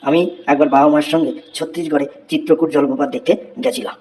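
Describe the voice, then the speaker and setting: A man's voice: a little high, fairly even intonation, quick pace, darkish tone, lightly acted, rough recording. A man doing a schoolboy reciting by rote. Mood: recitation. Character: schoolboy Poltu, reciting an essay.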